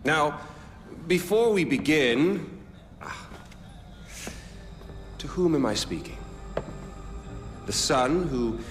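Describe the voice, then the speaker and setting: A flamboyant tyrant king for a video game, mock polite, super swoopy delivery, slow w dramatic pauses. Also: lowish voice, heavily acted. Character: flamboyant, sardonic, self-assured tyrant king. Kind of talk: video game cutscene acting.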